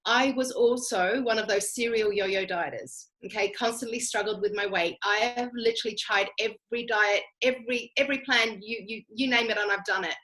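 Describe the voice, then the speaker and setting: A woman's voice: medium pitch, brisk, few pauses, pushed and bright, poor audio. An Australian woman giving a chatty, emphatic personal testimonial, speaking directly to her listeners. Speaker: guest speaker telling personal story. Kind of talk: conversational testimonial storytelling.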